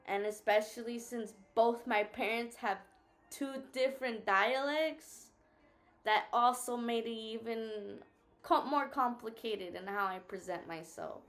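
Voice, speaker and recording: A woman's voice: fairly high pitch, unhurried, a bit bright, soft background music. A tired woman whose first language is Spanish, co-hosting a casual reflective chat and talking thoughtfully while searching for her words. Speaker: co-host.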